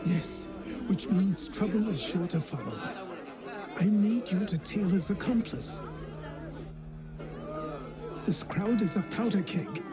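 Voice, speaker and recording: An actor playing a stern older mentor in a video game, speaking deliberately and curtly with authority, with music and a crowd behind. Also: male voice, sits high, lively intonation. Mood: stern, urgent. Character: stern older male mentor, commanding and unhurried. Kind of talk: video game cutscene acting.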